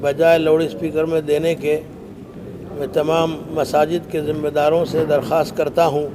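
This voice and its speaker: A man with an Indian accent making a formal public statement in deliberate, halting short phrases, as a community leader. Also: a little high, little pitch movement. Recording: clear background noise.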